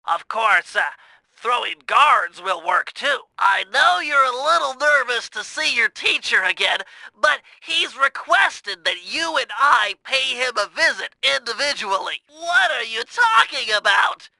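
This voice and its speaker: A man voicing a nerdy, brainy young turtle strategist in an animated video game, with a thin nasal voice and precise, wordy, earnest delivery. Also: very high voice, a little slow, vocal fry, no echo, heavily acted, low-quality recording. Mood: explanatory, focused. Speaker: mission guide in a video game.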